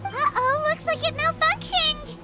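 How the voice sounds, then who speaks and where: A woman voicing a small childlike companion creature in a video game, squeaky and fretful. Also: steady tone, unhurried. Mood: startled, worried. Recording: loud music under the voice. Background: game music.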